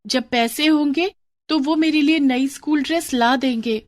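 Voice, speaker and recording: A woman's voice: high-pitched, unhurried, dry recording, studio quality, voice pushed up. A woman voicing a poor, modest schoolgirl who speaks politely and earnestly in a children's moral story. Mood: earnest, pleading.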